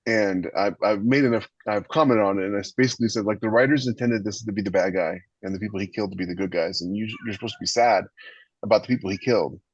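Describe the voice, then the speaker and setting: A man with a husky voice explaining his views on a podcast in a casual but emphatic, opinionated way. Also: fairly low pitch, quick pace. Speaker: podcast host and author. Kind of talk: casual writing discussion. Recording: roomy, slightly dull lo-fi microphone.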